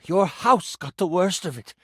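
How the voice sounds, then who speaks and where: A worried priestess from a fantasy village acted out by a male game master speaks with earnest urgency. The voice is lifted high and swings expressively, in the manner of tabletop storytelling. The sound is dry. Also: lively intonation, unhurried, slightly rough, no room sound, heavily acted. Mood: grave, worried.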